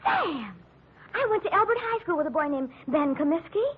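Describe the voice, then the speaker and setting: An actress playing an eager, easily bewildered young secretary in a 1940s radio drama, breathless and naive. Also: female voice, very high-pitched, sing-song, moderate pace. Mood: flustered, curious. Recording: noisy, lo-fi old radio recording.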